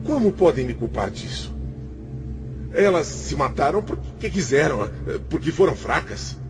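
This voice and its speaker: A man's voice: on the high side, big pitch swings, unhurried. A man acting in a radio drama as a self-pitying, defiant male spirit, theatrical and complaining as he justifies himself. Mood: indignant. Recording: music under the voice.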